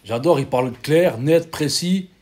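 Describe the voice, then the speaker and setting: A man hosting an interview show, lively and teasing, firing quick questions at his guest. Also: fairly high pitch. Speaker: show host. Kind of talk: casual interview chat.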